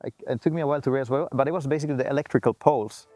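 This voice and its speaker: Male interviewee, relaxed anecdotal delivery, frequent restarts, soft music bed. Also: medium pitch, rapid-fire. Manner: loose, filler-heavy talk with frequent restarts. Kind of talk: casual storytelling interview.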